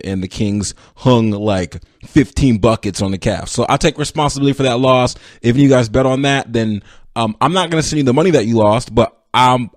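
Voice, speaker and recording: A man's voice: mid-range voice, no echo, clean studio sound. A young man hosting a casual solo sports podcast, rambling and self-deprecating as he talks straight to his listeners. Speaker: podcast host.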